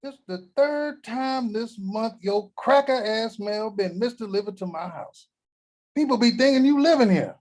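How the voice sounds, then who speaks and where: A man's voice: high-pitched, animated, unhurried, a little mellow. A man reading the part of a crotchety elderly family patriarch at a table read, brash and trash-talking. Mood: indignant.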